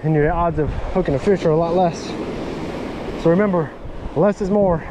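A man talking casually to viewers in an excitable, folksy, slangy way, like a fishing vlogger, recorded outdoors on an action camera. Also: a little high, moderate pace. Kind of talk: casual fishing vlog commentary. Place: outdoors on an open sea beach. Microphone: body-worn action camera. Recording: windy beach, surf and wind noise. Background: wind and breaking waves.

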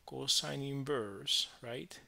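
A man teaching physics, explaining a worked problem step by step in halting phrases with frequent verbal checks. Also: mid-range voice, creaky, sharp tone.

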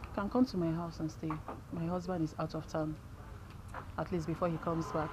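An actress playing a caring friend who offers the wife a place to stay. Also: female voice, deep voice, level tone, brisk, close to an everyday voice. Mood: soothing. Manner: indignant, then soothing. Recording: noisy background.

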